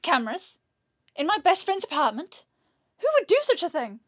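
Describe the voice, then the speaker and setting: A woman's voice: sits high, big pitch swings, normal speed, a bit bright, muffled recording. A woman playing a clingy rich yandere in an audio roleplay, fluttery and defensive as she feigns innocence. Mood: feigned shock.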